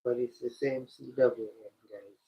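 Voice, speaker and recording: A man hosting a live stream, talking slowly and directly to his chat, his voice congested and stuffy from a cold. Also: medium pitch, steady tone, creaky. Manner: slow, repetitive, addresses the chat directly. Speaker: livestream host. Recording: dull lo-fi stream audio.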